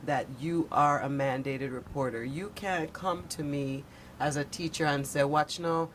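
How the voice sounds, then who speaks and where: A woman's voice: low voice, measured pace. A woman speaking earnestly and emphatically in a conversational panel discussion. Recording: faint background noise, room ambience.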